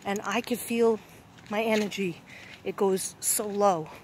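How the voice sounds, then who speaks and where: A woman's voice: mid-range voice, fairly even intonation, unhurried, darkish tone, slight background noise. A woman vlogging from her phone as she walks a neighborhood street outdoors, rambling and often restarting her sentences. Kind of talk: walking vlog, casual chat. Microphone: handheld phone.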